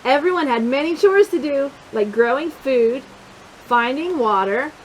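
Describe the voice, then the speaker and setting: A woman with a high, very animated voice reads a children's storybook aloud. Her delivery swings widely in pitch, and she speaks directly and encouragingly to little listeners. A steady hiss runs behind her voice. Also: measured pace, poor audio. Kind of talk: animated picture-book read-aloud with questions to young listeners.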